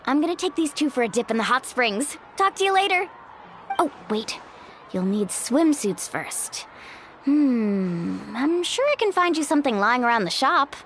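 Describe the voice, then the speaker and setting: A woman's voice: high-pitched, big pitch swings, moderate pace, slight background noise. An actress playing a sunny, easygoing young woman, a breezy and friendly guide in a video game. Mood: upbeat. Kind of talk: video game character acting.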